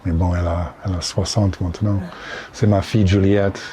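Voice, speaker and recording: Older American man in his sixties, low dark voice, halting casual French with English slips, faint outdoor street noise. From Utah, United States. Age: 60s. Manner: relaxed, halting French with English words slipped in. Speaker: interviewee. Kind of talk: casual chat. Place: outdoors under a city bridge.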